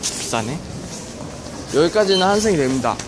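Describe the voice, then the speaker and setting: A man giving a casual, off-the-cuff running commentary on a station tour, halting and repeating himself. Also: a little high, very animated. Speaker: rail enthusiast filming a video. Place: on a train and in a railway station. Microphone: handheld camera or phone. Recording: loud train and station noise. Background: trains, station ambience.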